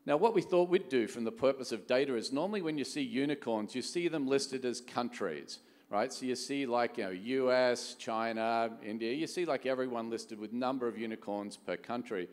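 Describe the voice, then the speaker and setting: A man's voice: medium pitch, moderate pace, darkish tone. A middle-aged man gives a conference keynote in a conversational manner, often posing rhetorical questions. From Australia. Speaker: venture capital executive, keynote speaker. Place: large conference hall stage. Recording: echoey hall sound, long reverb.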